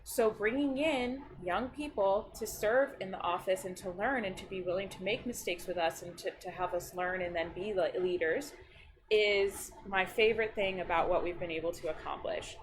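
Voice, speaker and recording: A woman's voice: medium pitch, normal speed, background noise. A woman in her thirties, a county district staff member, chatting in a bubbly, upbeat way. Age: 30s. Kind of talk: casual chat on a video stream. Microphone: video call.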